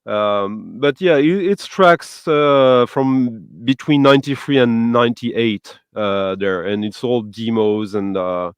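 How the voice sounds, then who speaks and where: A male host talks music with real enthusiasm and often stops to search for the right word. English is not his first language. His voice is smooth and clear, and it sits at a middling pitch in a dry, close sound. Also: takes it slowly, hardly any pauses, dry recording. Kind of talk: casual music chat.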